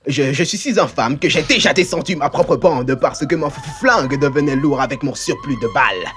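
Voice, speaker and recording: A man's voice: on the high side, expressive, normal speed, fairly bright tone, faint music behind. A man voicing a boastful, bumbling, cowardly young cowboy outlaw in a cartoon dub, blustering theatrically. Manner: blustering, theatrical bragging that cracks into panic. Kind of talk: cartoon fan dub acting.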